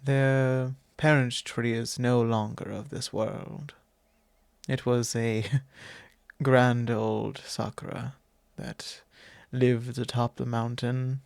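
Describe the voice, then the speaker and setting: A man playing a courtly, fond vampire lord in an intimate ASMR roleplay, speaking formally and unhurriedly with pauses. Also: medium pitch, vocal fry, darkish tone, dry recording. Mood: solemn, wistful. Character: courtly possessive male vampire lord, long-lived, fond and wistful. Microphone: close microphone, dry.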